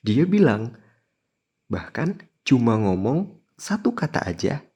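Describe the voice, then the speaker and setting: A man's voice: medium pitch, very animated, slow, dark tone, breathy edge, highs cut off. A man presenting a scripted podcast in a chatty way, speaking straight to listeners. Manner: chatty, addresses listeners directly. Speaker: podcast host.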